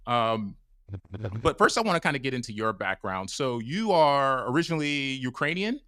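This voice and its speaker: A man's voice: mid-range voice, very animated, normal speed, bright, forceful tone, no room sound, studio quality. A man hosting a podcast over a video call, chatting casually in a rambling way with many fillers. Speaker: podcast host. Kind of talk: casual interview chat. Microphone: mic on a stand over a video call.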